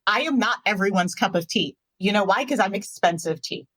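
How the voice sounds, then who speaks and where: A woman with a husky, rough-edged voice at a medium pitch co-hosts a casual interview. She is outspoken and emphatic in how she makes her points. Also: expressive, fairly quick, fairly bright tone. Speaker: podcast co-host.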